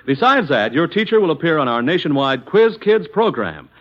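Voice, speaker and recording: An American male announcer delivers 1940s radio advertising with an animated, widely swinging pitch. His voice sits fairly high, and his delivery is emphatic and folksy. The recording is an old, dull broadcast. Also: moderate pace.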